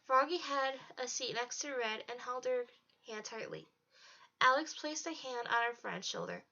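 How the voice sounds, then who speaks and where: A woman's voice: high voice, normal speed, pushed and bright. A woman narrating a storybook as a read-aloud. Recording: dull lo-fi home recording.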